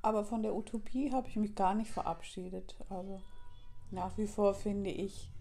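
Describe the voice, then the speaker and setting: Woman in her fifties, gravelly low-set tone, mellow, candid and reflective, lapel mic indoors. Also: steady tone, moderate pace. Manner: candid, thoughtful, with laughs. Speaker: guest, artist and author. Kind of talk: reflective interview talk. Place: cafe-like room indoors.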